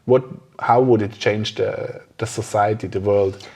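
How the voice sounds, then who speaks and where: Halting man hosting a casual podcast. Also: lowish voice, steady tone, vocal fry, darkish tone, breathy edge. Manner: halting, searching for words. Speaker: podcast host. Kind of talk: casual conversational interview.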